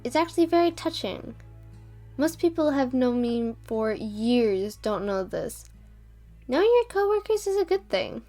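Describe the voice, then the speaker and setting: A woman playing a stressed, protective female scientist in an audio roleplay drama, speaking deliberately with sighs. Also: fairly high pitch, very animated, dark tone, faint music behind. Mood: gentle, serious.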